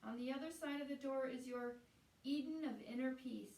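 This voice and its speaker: A woman guiding a meditation as a teacher, speaking in a soothing, unhurried way with pauses between phrases. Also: high voice, darkish tone. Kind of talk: guided meditation. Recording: roomy echo, distant from the mic.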